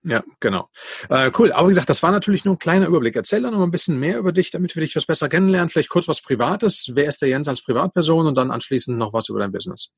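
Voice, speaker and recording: A man hosting a podcast interview, relaxed and conversational, asking follow-up questions. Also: a little high, racing, gravelly, breathy edge, dry recording, clean studio sound. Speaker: podcast host.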